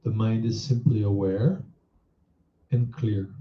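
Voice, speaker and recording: A man guides a meditation at a very slow, measured pace, with an average-pitched voice. He teaches by putting reflective questions to the listener. The recording is dull, with a clear roomy echo. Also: steady tone.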